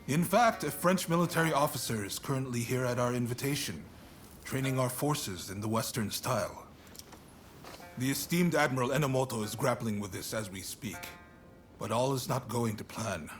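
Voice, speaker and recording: An actor playing a shrewd, courteous shogunate statesman in a video game, speaking in a measured, formal, authoritative way. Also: mid-range voice, faint music behind, natural voice. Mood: composed authority. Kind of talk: video game cutscene acting.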